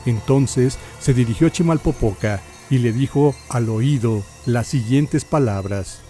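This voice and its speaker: A man's voice: mid-range voice, measured pace, dark tone, faint music behind. A man with a Mexican accent reading scripted historical narration in a solemn, theatrical, literary manner.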